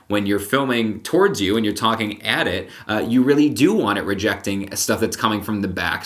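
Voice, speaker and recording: A man with a deep voice, vlogging casually to the camera and explaining gear with frequent restarts. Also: fairly bright tone. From Connecticut, USA. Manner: chatty, explanatory, with filler restarts. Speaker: vlogger and magician. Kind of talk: casual vlog talk to camera. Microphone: camera-mounted shotgun mic.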